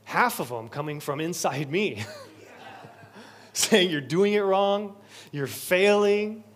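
Male pastor, higher-pitched, preaching with earnest building repetition. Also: expressive, takes it slowly. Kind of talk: sermon. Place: church sanctuary.

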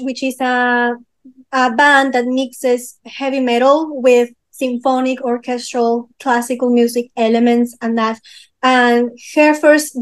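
A young woman from Peru, interviewed as a musician, with a notably high voice. She talks casually and feels her way through answers, pausing with fillers and restarting sentences as she hunts for the right word. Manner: searching for words, many fillers and restarts. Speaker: guest musician.

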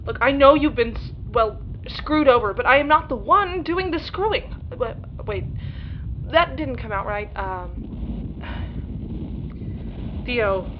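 A high-voiced, earnest fixer acted by a woman guards a client in an audio drama. The delivery is halting and placating. It sounds muffled, as if bugged, under loud background noise. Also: expressive. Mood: pleading, firm. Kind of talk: naturalistic audio drama acting.

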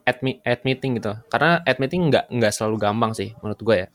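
A man's voice: mid-range voice, fast talker. A man in a casual podcast chat, reasoning aloud with hesitant restarts.

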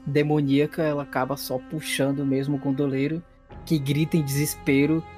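A man's voice: a little high, a little mellow. Man, Brazilian accent, game master, dramatic narrator, casual referee, music underneath.

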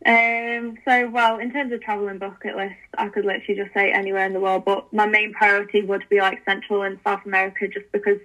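A chatty young woman guest on a podcast, talking eagerly with frequent fillers and restarts. Her voice is bright and forward, with a flat, even pitch. It comes through thin, like a phone call. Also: fast, few pauses. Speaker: podcast guest, language learning founder. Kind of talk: casual interview chat.

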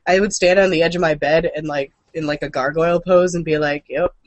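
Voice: medium pitch, fairly even intonation, fairly quick, dry recording. A co-host chatting casually and informally, with a wry, joking way of talking. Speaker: co-host of a gaming and comics news show. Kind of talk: casual chat about comics, films and cartoons.

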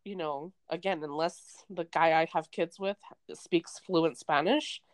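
A woman's voice: deep voice, measured pace, no room sound. A young Canadian woman co-hosting a podcast, chatting casually and rambling with lots of fillers. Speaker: podcast co-host. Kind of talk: casual chat. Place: remote, away from co-host.

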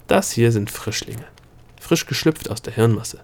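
A wild little gnome with shifting scales, lecturing and cackling as he works himself into a rant, as a male narrator puts him on. His voice climbs high and loud when he gets excited. He is the guide of a storybook adventure. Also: a little slow. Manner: cackling, lecturing, worked up into a rage.

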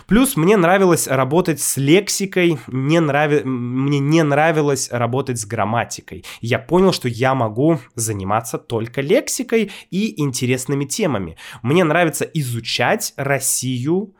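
A man who teaches Russian, talking through his story in a casual, thoughtful way with frequent pauses and fillers. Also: medium pitch, lively intonation. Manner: thoughtful, hesitant, with many fillers and restarts. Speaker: Russian language teacher and YouTuber. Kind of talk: casual explanatory monologue.

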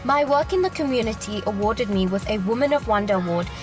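A woman's voice: mid-range voice, animated, fast. A young woman in her early twenties with a South African accent, giving a polished, formal pageant introduction. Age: early 20s. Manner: polished, formal, proud delivery. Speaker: pageant contestant. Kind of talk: scripted pageant introduction video. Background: music.

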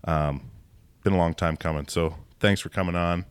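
A man's voice: sits low, fairly even intonation, normal speed, smooth, no room sound. A man hosting a podcast, asking friendly, rambling questions in a casual interview, recorded indoors at a theater venue. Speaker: podcast host.

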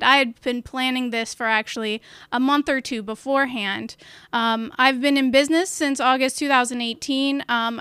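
A woman hosting her own podcast on a live stream, chatty and upbeat, with a loud voice and lots of fillers, talking into a studio mic at home. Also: high-pitched, clear, resonant tone, no room sound. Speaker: podcast host. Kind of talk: casual live-stream chat. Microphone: studio mic with portable recorder.

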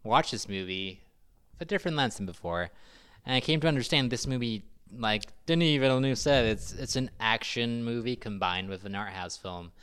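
A man's voice: medium pitch, normal speed, a bit bright, clean tone. A man co-hosting a casual film discussion podcast, with a dry, skeptical and contrarian manner. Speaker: podcast co-host.

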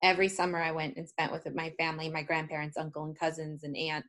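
A woman's voice: low voice, completely flat delivery, fast, a bit bright. A woman in her thirties with an American accent, speaking as a guest in a casual interview, telling things in a chatty, informal way. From United States (Seattle area).

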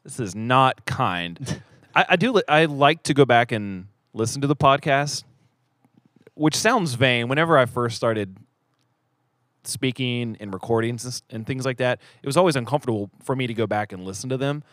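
A man's voice: medium pitch, animated, normal speed, fairly bright tone, no room sound, clean studio sound. A man hosting a podcast in casual banter, rambling and self-deprecating, sometimes mumbling as his sentences trail off. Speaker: podcast host.

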